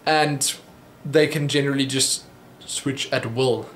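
A man's voice: medium pitch, fairly even intonation, slow, a bit bright, roomy sound, a little hiss or hum. A young man in his twenties with a South African English accent, rambling through viewer questions at his desk with plenty of ums and restarts. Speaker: vlogger answering viewer questions. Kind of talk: casual Q&A monologue. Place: small home office room. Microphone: webcam at desk.

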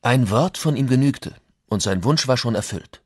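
A conquistador chronicler in a medium-pitched voice. He recounts events as a dramatized historical account for a documentary. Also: animated, normal speed, darkish tone, slightly rough, no echo, studio quality. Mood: measured recounting.

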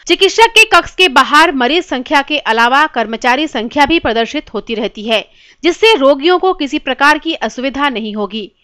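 A woman narrating a scripted news report in a formal, measured reading style. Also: high voice, bright, forceful tone, clean tone, no room sound, studio quality.

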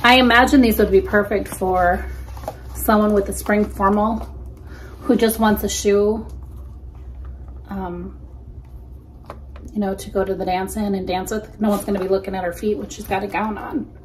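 Woman in her 40s, easygoing reseller vlog host, mid-pitched, faint room hum, desk-distance camera mic. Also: steady tone, normal speed, long pauses. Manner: chatty, easygoing, frequent ums. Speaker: reseller vlogger. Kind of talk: casual unboxing commentary. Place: small indoor room against a plain wall.

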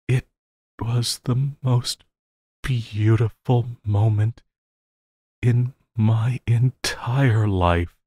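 A man playing an obsessive, lovesick supervillain in an intimate audio roleplay, halting and crooning, by turns sinister and pleading. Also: lowish voice, darkish tone, slightly rough, no room sound, studio quality, full character voice. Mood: adoring. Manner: halting, crooning, breaking into laughter.

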